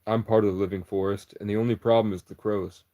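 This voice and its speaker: Man, lowish voice, livestreamer riffing at chat, conspiratorial and meandering, cuts himself off a lot. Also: level tone, fast talker, warm tone.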